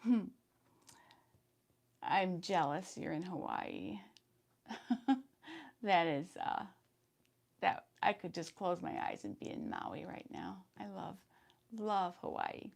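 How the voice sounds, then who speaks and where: A woman in her fifties hosting a sewing live stream, chatting casually and reading viewer comments, her voice hoarse today. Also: on the low side, big pitch swings, takes it slowly, long pauses, a little mellow. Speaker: sewing channel host.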